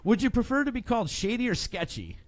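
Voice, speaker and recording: A man's voice: very high-pitched, sing-song, quick pace, no room sound. A man hosting a radio show, laid-back and laughing along with his guest in a casual interview. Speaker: radio host. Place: radio studio.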